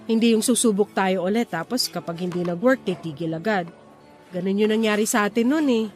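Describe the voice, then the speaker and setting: A woman's voice: medium pitch, fairly quick, soft background music, close to an everyday voice. An actress playing an independent, frank young single woman in radio romance drama, speaking conversational Taglish in a reflective, assertive way. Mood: cautious.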